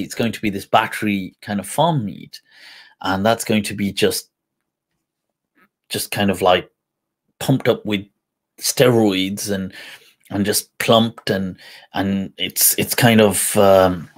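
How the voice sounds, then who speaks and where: A man in his thirties, an Islamic scholar answering questions on a livestream, talking casually and animatedly, with frequent laughs and repeated words. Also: on the low side. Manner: animated, gesturing a lot, with frequent laughs and repetitions. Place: indoor studio set with a printed backdrop.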